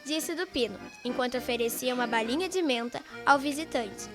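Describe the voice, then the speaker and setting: A young Brazilian girl narrates a radio story from a script. Her voice is high, and music plays clearly behind her. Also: a little fast. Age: child, about twelve.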